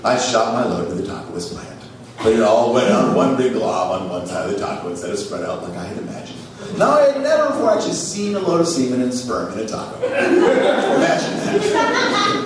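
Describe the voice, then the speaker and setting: Male live comedy host, theatrical reader with huge pitch swings, noisy distant stage recording. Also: very echoey. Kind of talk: reading an internet post aloud for laughs.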